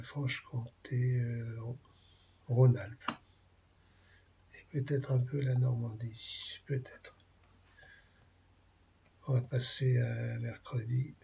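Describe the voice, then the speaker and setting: A man with a cold and a French accent giving an informal weather briefing, halting and full of fillers as he talks through maps. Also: medium pitch, highs cut off. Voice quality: gravelly, husky. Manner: halting, many fillers, thinking aloud over weather maps. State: has a cold, clears throat. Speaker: amateur weather forecaster.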